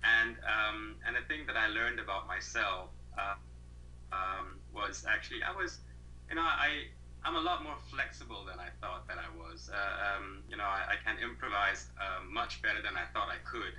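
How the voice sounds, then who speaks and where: A man's voice: on the low side, moderate pace, pushed and bright, a little hiss or hum, poor audio. A man in his thirties from Malaysia, a guest on an interview over a video call, talking thoughtfully with many fillers and restarts.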